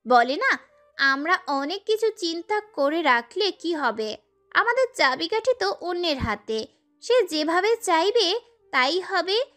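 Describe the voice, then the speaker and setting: A woman narrating a dramatic first-person story as a schoolgirl heroine, in an expressive way. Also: very high-pitched, slow, soft background music.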